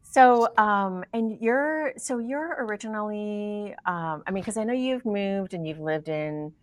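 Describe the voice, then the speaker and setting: A woman's voice: mid-range voice, a little slow, dry recording. A woman hosting an interview, chatting in a friendly way and often affirming her guest. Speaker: podcast host. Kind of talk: casual interview conversation.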